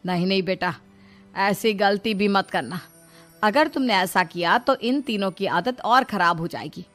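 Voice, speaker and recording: A woman's voice: medium pitch, a little slow, a bit bright, faint music behind, close to an everyday voice. A woman voicing a middle-aged mother-in-law, motherly and persuasive, fretful but firm, in a comic family story. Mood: firm, scheming.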